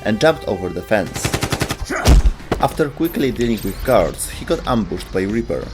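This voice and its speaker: A man's voice: on the low side, very animated, moderate pace, over loud music. A man giving scripted narration as the host of a video game lore show.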